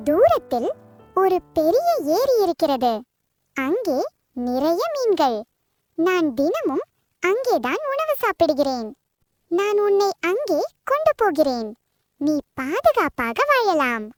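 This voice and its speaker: Very high, childlike boastful crane. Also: female voice, very animated, takes it slowly, long pauses, no echo, clean studio sound, faint music behind, heavily acted. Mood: coaxing. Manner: boastful, persuasive. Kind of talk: scripted children's story acting.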